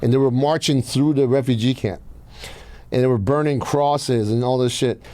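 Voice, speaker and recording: A man's voice: medium pitch, steady tone, normal speed, warm tone, no room sound. A man from Iran telling personal stories casually as a podcast host, blunt and swearing freely.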